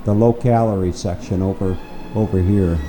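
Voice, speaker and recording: A man giving an upbeat, chatty walking tour of a hotel, often pausing with "uh" and repeating himself. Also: low-pitched, fairly even intonation, mellow, slight background noise. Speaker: travel vlogger touring a hotel. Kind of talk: casual walking tour narration. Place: hotel breakfast hall and lobby, indoors.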